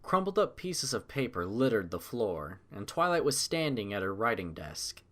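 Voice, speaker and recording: A man narrating a scripted story with expressive, dramatic, measured delivery. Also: fairly low pitch.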